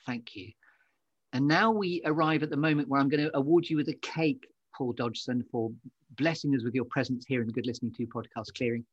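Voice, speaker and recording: A man's voice: mid-range voice, a little fast, husky, dry recording, lo-fi sound. A man hosting an interview podcast in a conversational, effusive and wordy way, full of compliments. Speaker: podcast host.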